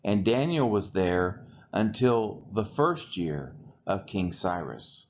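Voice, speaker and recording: A man reading the Bible aloud from the text in a measured, deliberate way, phrase by phrase. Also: low-pitched, sing-song, muffled recording. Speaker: scripture reader.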